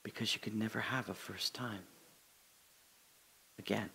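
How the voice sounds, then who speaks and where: An actor in an audio drama playing a rambling detained man, bitter and sardonic, who swings from hushed musing to indignant rants. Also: lowish voice, fairly even intonation, unhurried, gravelly, full character voice, low-quality recording. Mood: wistful.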